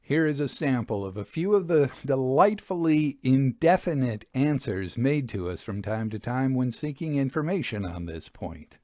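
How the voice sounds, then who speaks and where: A man reading a literary travel tale aloud in a theatrical, expressive way with deliberate phrasing. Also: medium pitch, no room sound, studio quality. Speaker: audiobook reader, storyteller. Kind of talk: dramatic literary narration of a Victorian travel memoir.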